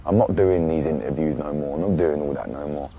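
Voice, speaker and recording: A man with a low voice answers interview questions haltingly, leaning on fillers as he searches for words. The recording sounds dull and lo-fi. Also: gravelly, mellow, breathy edge. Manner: hesitant, many fillers. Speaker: dancehall and reggae artist.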